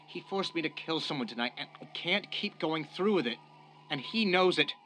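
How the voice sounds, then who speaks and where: A man's voice: on the high side, animated, normal speed, pushed and bright, a little hiss or hum. A man playing a desperate, protective fugitive in a radio drama, speaking with earnest, pleading intensity. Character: desperate young man on the run, protective and determined.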